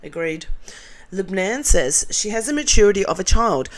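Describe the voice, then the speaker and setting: A middle-aged Australian woman with a nasal, croaky morning voice, reading and judging viewer comments in a blunt, sarcastic vlog. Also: mid-range voice, big pitch swings, normal speed. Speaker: commentary vlogger.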